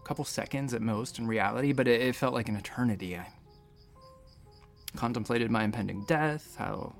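A man's voice: mid-range voice, fairly quick, vocal fry, faint music behind, lightly acted. A man playing an anxious, wry space station doctor in a sci-fi audio drama, with a stammering, nervy manner. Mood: wry, reflective.

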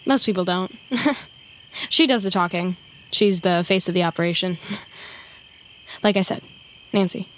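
A woman's voice: fairly low pitch, unhurried, pauses often, a little hiss or hum, natural voice. A woman playing an awkward, chatty sidekick who rambles with wry, self-deprecating humour in an audio drama. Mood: awkward, joking. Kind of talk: naturalistic audio drama acting.

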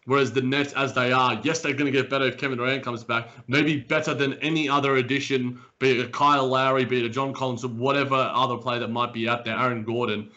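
Podcast co-host, man from Australia, bright edgy voice, rambling and animated, a bit raspy, lo-fi remote line. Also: mid-range voice, fast talker, few pauses. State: slightly raspy morning voice. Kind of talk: casual sports podcast chat. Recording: dull lo-fi remote audio.

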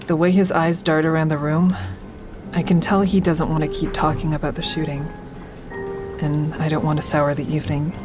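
A woman's voice: low-pitched, flat delivery, moderate pace, music in the background, close to an everyday voice. A woman playing an awkward, smitten survivor who narrates her own story in an audio drama, confiding and self-deprecating. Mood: guarded, confiding.

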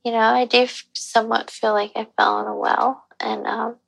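A thirty-year-old woman telling her story in a hesitant, halting way. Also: on the high side, level tone, creaky, no echo, poor audio. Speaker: interviewee.

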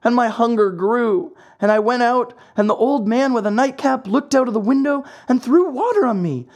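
A narrator voicing a whiny, excitable wooden puppet boy in a children's storybook, fussy and full of complaint. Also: medium pitch, moderate pace, pitched above the performer's own voice, close to an everyday voice. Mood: agitated, rambling. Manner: wailing, breathless childlike complaining. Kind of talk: children's storybook character acting.

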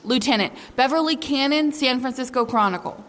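An actress playing a persistent, direct newspaper reporter asking questions at a press conference in an audio drama. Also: female voice, sits high, brisk, a bit bright. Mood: insistent. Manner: direct, insistent. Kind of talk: full-cast audio drama. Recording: reverberant press room.

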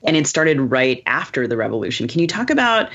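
A woman hosting an interview, putting a prepared question in measured, orderly phrases. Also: very deep voice, big pitch swings, fairly bright tone, highs cut off. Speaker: podcast host.

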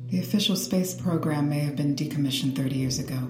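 An actress playing a cool, unyielding government interrogator in an audio drama, measured, dry and matter-of-fact. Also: female voice, low voice, close to an everyday voice. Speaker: actor in audio fiction. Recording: roomy echo, faint music underneath.

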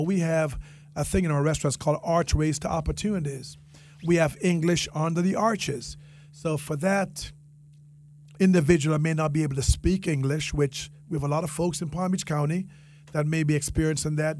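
A man's voice: a little high, a little mellow, slight background noise. A man with a Jamaican accent speaking earnestly as a guest in a conversational interview, repeating phrases for emphasis. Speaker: interview guest, restaurant franchisee and entrepreneur.

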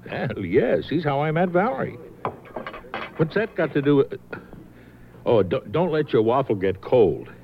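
An actor playing a composed, politely evasive clothes designer in a radio drama. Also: male voice, mid-range voice, sing-song, a little slow, long pauses. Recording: dull old radio recording, faint noise.

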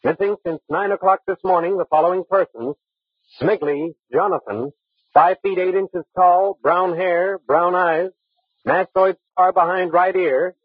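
An excitable bureau radio operator in an old radio drama. His high voice has a rough, husky edge and a slangy, exasperated delivery, heard through a thin, tinny vintage broadcast. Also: a little slow, no echo. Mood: official, clipped. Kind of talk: 1950s radio drama acting. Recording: tinny, muffled old-time radio recording.

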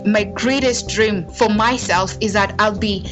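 A woman's voice: mid-range voice, steady tone, measured pace. Young Kenyan woman, earnest storyteller. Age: young adult. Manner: earnest, conversational, uses fillers like "you know". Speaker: interviewee. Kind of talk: casual personal storytelling. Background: background music.

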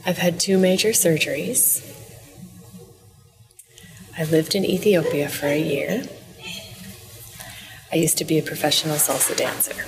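Low-voiced Midwestern woman, matter-of-fact. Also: steady tone, unhurried, pauses often, far from the mic, soft background music, low-quality recording. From Wisconsin, US Midwest. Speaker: guest, new mother. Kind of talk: casual interview chat.

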